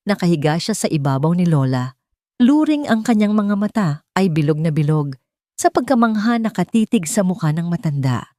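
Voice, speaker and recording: A woman's voice: fairly low pitch, very animated, moderate pace, warm tone, dry recording, clean studio sound. A woman narrating an audiobook in a dramatic, scripted storytelling style.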